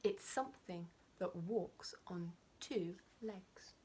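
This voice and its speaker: A woman's voice: mid-range voice, slow pace, mellow, slight background noise, close to an everyday voice. A woman voicing an enormous, greedy, boastful male crocodile in a children's story, drawing words out with relish and sly whispers. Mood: sly, gleeful.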